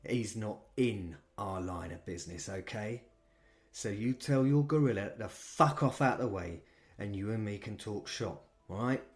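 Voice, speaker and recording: A man's voice: fairly low pitch, a little slow. A man voicing a blunt, unafraid middle-aged gang manager with a London accent who swears a lot.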